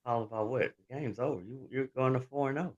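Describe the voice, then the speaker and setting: A man's voice: medium pitch, fairly quick, darkish tone. A man co-hosting a podcast, brash and trash-talking as he banters about fantasy football. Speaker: podcast co-host. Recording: dull lo-fi mic.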